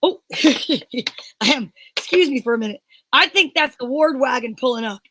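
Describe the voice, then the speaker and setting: Old-time radio heroine female amateur performer: wisecracking, keen would-be secretary, sharp high voice, gushing and slangy. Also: expressive, normal speed, dry recording, full character voice. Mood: excited.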